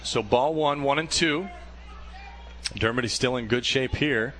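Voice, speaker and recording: A man doing radio play-by-play for a baseball game, calling pitches in a steady flow that lifts when a play unfolds. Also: unhurried, a little hiss or hum. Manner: steady calls, rising excitement on plays. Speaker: play-by-play announcer.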